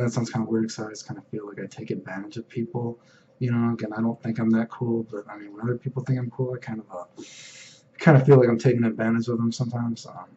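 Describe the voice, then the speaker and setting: Young guy vlogging, deep mellow voice, rambles a lot w/ fillers, kinda dull lo-fi sound w/ faint hiss. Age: young adult. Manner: rambling, filler-heavy, frequent "you know what I mean". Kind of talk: casual personal vlog.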